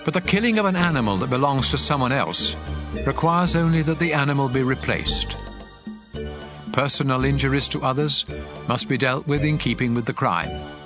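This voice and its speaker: Guy acting as god in a bible audio drama, stern lawgiver, solemn and measured, loud music behind. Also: mid-range voice, natural voice. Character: God of Israel, stern commanding male lawgiver. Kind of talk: dramatized scripture reading.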